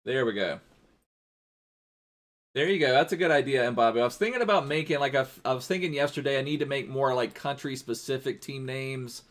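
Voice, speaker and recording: A man's voice: mid-range voice, a little fast, a bit bright. A man streaming a football video game live, chatting casually in a rambling way and thanking viewers as he plays.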